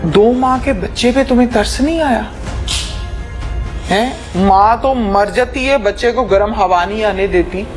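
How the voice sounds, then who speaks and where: A woman's voice: a little high, unhurried, faint music behind. A woman questioning someone, persistent, sarcastic and scolding. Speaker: interviewer. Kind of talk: probing interrogation.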